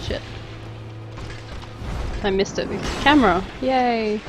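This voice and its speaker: A man's voice: sits high, slow pace. A man with a general Australian accent, giving casual live-stream commentary while playing and reading chat aloud with wry, dry remarks. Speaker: game streamer. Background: game music.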